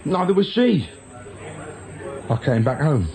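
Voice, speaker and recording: Radio drama petty crook: British, fairly high and animated, jittery, evasive, self-justifying. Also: male voice, slow pace. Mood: confessing. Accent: British English. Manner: nervous, stammering, self-justifying. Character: jittery small-time crook, male, defensive, evasive.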